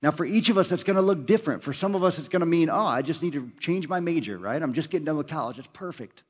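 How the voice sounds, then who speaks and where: A man's voice: on the high side, animated, fast talker, slightly rough. A male pastor preaching an earnest sermon, urging listeners with repeated phrases.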